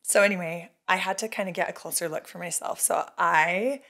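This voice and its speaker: A middle-aged woman co-hosting a podcast with an American accent, a loud and animated storyteller in casual chat. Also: lowish voice, a little fast, vocal fry. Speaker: podcast co-host.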